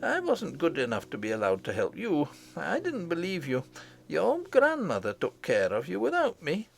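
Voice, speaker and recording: A dutiful young miner lad from a fairy tale, voiced by a man. He speaks in a raised, lively voice, earnest and impulsive yet polite, with music clearly playing behind. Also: on the high side, normal speed, pitched above the performer's own voice, heavily acted. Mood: humble, apologetic. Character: brave, earnest miner boy, dutiful to his mother.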